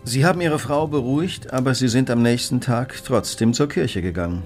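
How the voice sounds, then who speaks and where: An actor playing a cool, analytical Victorian gentleman detective in a radio play, precise and probing as he questions. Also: medium pitch, normal speed, soft background music, natural voice.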